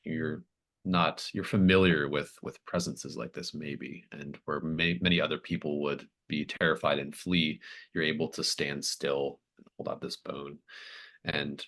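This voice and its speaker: A game master improvising narration for a tabletop roleplaying game in a halting, thoughtful way. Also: very deep voice, steady tone, a bit bright.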